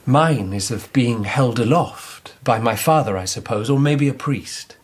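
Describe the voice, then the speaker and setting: A man playing an imperious, introspective emperor in a radio drama, speaking in a measured, commanding way. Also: medium pitch, husky. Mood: musing.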